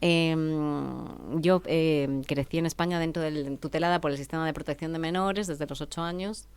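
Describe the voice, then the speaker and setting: A Spanish woman in her forties speaking on a radio show. Her voice sits low and sounds smooth and resonant. She talks reflectively, pausing often on fillers. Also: steady tone, a little mellow. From Spain. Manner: reflective, hesitant with many fillers, laughs easily. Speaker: guest author. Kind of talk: radio interview.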